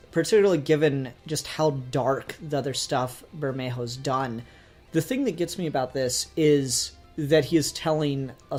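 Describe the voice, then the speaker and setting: A man's voice: mid-range voice, a little mellow. A man talking casually as a podcast host, explaining his opinions in an earnest, enthusiastic way. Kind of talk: casual review discussion.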